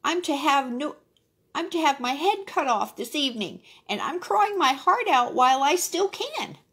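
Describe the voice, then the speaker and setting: A woman voicing a distressed rooster in a storybook, wailing and lamenting loudly. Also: sing-song, normal speed, heavily acted. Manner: high-pitched, wailing.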